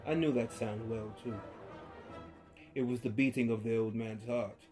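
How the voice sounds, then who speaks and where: A man giving a theatrical horror reading as an unhinged male murderer, gloating and feverish, dropping to hushed murmurs and rising to loud outbursts with low chuckles. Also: steady tone, normal speed, faint music behind. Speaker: narrator reading a horror tale. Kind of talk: dramatic storytelling reading.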